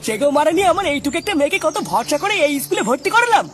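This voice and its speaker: A man's voice: very high-pitched, sing-song, very fast. A man voicing a hot-headed, foul-mouthed father in a comedy cartoon, belligerent and full of bluster. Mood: outraged. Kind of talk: exaggerated cartoon comedy dialogue. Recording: music behind the voice.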